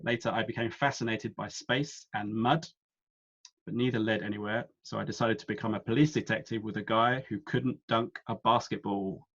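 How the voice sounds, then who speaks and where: A man hosting a quiz over a video call, reading each clue slowly and then again. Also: fairly low pitch, fairly even intonation, a bit bright, muffled recording. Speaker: quiz host.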